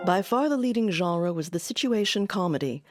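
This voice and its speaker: A woman's voice: fairly low pitch, animated, normal speed, loud music behind the voice. A woman delivering scripted documentary narration.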